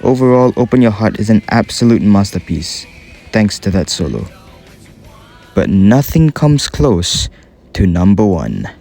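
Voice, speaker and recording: Deep-voiced young Singaporean man. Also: big pitch swings, a little slow, gravelly, dark tone, no echo. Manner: casual, enthusiastic, swearing, slang. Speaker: YouTuber ranking game music. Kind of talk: scripted commentary voice-over. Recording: faint music behind the voice.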